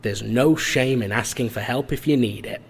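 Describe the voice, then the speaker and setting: A man voicing an easygoing, encouraging boss of a small investigation firm in audio fiction, gravelly and husky. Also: medium pitch, moderate pace. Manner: encouraging, informal. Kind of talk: memo read aloud in audio fiction. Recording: long hall-like reverb.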